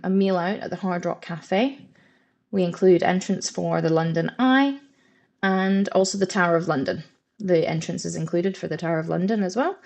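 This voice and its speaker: A Scottish woman with a slightly low voice presents a webinar over a computer mic. She walks through slides in a friendly, informative way, pausing on the odd "um". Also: darkish tone. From Scotland. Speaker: presenter for a study holiday company. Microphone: computer mic on a video call.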